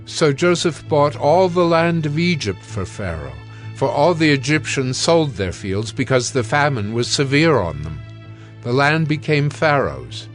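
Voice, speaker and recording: A man's voice: medium pitch, very animated, unhurried. Male Bible narrator over faint music. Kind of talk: scripted audio drama Bible narration.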